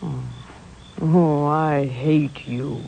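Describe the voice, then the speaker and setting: Flustered, alluring radio-drama nurse. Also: female voice, deep voice, fairly even intonation, very slow, gravelly, full character voice. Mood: pleading, coy. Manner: flustered, pleading, theatrical. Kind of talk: 1940s radio melodrama acting. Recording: old, faintly noisy radio recording.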